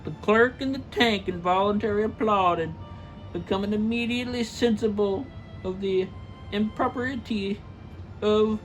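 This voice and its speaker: A woman's voice: medium pitch, normal speed, soft background music, low-quality recording. A woman narrating a storybook in a theatrical reading style. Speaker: story reader.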